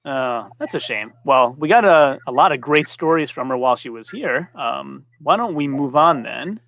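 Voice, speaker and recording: A man's voice: mid-range voice, lively intonation, normal speed, vocal fry, a bit bright, rough recording. A man hosting a live-stream interview, friendly and conversational. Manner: friendly, conversational, laughs easily. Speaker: interview host.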